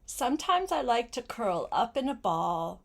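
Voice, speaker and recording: Older woman, grandmother reading a storybook, fairly high pitch, lively intonation, gentle child-directed phrasing. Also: a little slow, gravelly. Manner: gentle, simple child-directed talk with questions and short phrases. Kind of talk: read-aloud picture book storytelling with asides to a young child.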